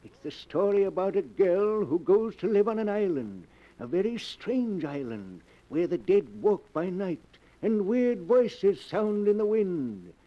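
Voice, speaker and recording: A cackling eerie book keeper. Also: male voice, high-pitched, big pitch swings. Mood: sly. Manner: cackling, theatrical, drawn-out delivery. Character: eerie old male Keeper of the Book, sly host. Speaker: narrator host. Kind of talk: 1940s radio drama narration. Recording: dull old radio recording with music.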